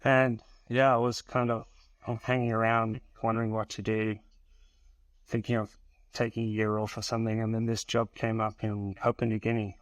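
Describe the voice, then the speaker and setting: A man from South Africa with a South African accent, in his thirties, telling his story as a podcast guest in an easy, unhurried way. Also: fairly low pitch, no echo, rough recording. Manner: relaxed, even storytelling with pauses. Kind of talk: casual interview.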